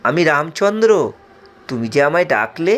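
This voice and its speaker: A man voicing a serene, gracious male deity who speaks gently and unhurriedly. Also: a little high, big pitch swings, normal speed.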